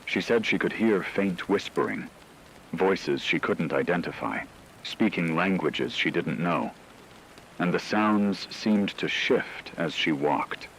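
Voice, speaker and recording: A man playing a serious, measured news anchor in a scripted emergency radio broadcast drama. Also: low voice, a bit bright, slight background noise, heavily acted, poor audio. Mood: grave, ominous. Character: grave male radio news anchor reporting a supernatural fog crisis.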